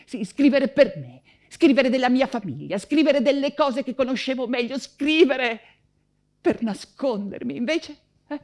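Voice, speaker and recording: An actress playing an anguished, proud woman writer in wartime Paris, in a theatrical monologue with halting, insistent repetitions. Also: on the high side. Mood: urgent, resolute.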